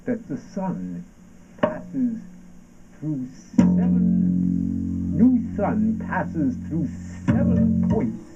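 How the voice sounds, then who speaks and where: A man acting a brooding, sardonic ranter in an avant-garde stage monologue, halting and deliberate, with dramatic shifts of emphasis. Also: mid-range voice, heavily acted. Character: brooding, sardonic ranter delivering absurdist anti-war poetic monologue. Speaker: stage actor. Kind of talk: theatrical monologue from an avant-garde radio play adapted for stage. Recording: dull, noisy lo-fi stage recording.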